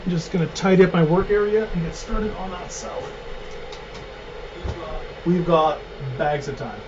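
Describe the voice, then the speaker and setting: Male home cook, slightly high voice, chatty and joking, dull lo-fi stream audio with noise. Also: moderate pace. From Canada. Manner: chatty, joking, narrates his cooking steps. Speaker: cooking show host. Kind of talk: casual live cooking stream. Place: home kitchen.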